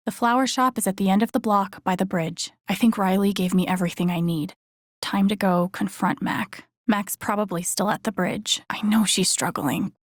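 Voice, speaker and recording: A woman's voice: medium pitch, dry recording, clean studio sound, lightly acted. A woman voicing an introspective, wry and empathetic young woman in a video game, casually muttering her thoughts to herself in a naturalistic way. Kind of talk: naturalistic game character inner monologue.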